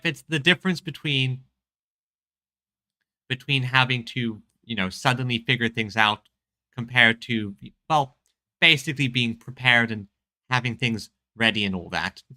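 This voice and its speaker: A man's voice: medium pitch, bright, forceful tone. A man commenting casually while playing a story game, rambling with little chuckling asides. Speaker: gamer playing a story quest. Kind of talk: casual let's-play commentary.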